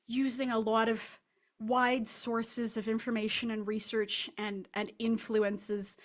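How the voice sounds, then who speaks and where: A woman speaking as an author guest in an interview, answering in a casual, reflective way. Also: fairly high pitch.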